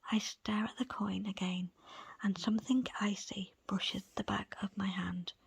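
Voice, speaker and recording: Woman doing asmr book reading, really breathy whisper, slow, close dry mic. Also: mid-range voice, little pitch movement, no room sound. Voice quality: soft, breathy, unvoiced whisper. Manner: slow, deliberate, pausing between short phrases. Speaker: audiobook reader. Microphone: close, dry microphone.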